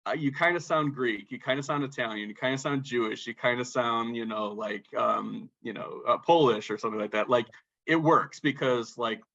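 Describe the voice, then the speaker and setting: A man co-hosting a casual movie podcast, talking in an animated way and peppering his speech with "like". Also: medium pitch, moderate pace, gravelly, lo-fi sound. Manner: animated, filler-heavy talk with lots of "like". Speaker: podcast co-host.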